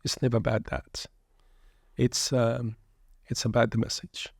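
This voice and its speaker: Male shaykh, gravelly and level, preacherly podcast guest on a dry mic. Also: mid-range voice, flat delivery, a little slow, a little mellow, no echo. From born in England, raised in Sudan. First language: Arabic. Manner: earnest, preacherly, peppered with Arabic phrases. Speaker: guest shaykh, pilgrimage group leader. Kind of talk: reflective religious podcast talk.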